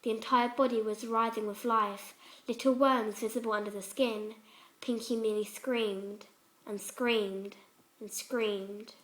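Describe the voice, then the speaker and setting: A woman reads horror fan fiction as its narrator. Her voice is notably high in pitch. The delivery is scripted and dramatic, as storytelling. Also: fairly even intonation, measured pace, low-quality recording.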